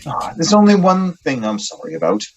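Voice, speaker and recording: An actor playing an idealistic, excitable young poet in a radio drama, earnest and theatrical with halting phrasing. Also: male voice, fairly high pitch, dull audio, slight background noise. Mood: exalted, eager.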